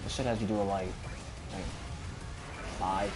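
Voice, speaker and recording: A man's voice: sits low, steady tone, a little slow, low-quality recording. Casual, sweary young man commenting on gameplay. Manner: profane, offhand, chatty. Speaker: gaming video host. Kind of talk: casual game playthrough commentary. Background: game music.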